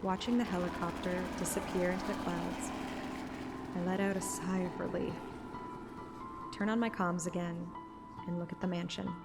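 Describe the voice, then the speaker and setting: A woman's voice: fairly low pitch, flat delivery, normal speed, long pauses. A woman reading a scripted first-person story like an audiobook, with music behind her voice. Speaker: narrator and streamer.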